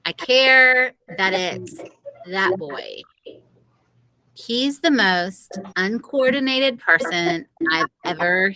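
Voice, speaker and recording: A woman's voice: mid-range voice, pushed and bright, slight background noise, exaggerated voice, rough recording. A woman voicing an indignant, image-conscious teenage cheerleader with an emphatic, protesting manner in a children's audiobook. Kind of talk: children's audiobook character reading.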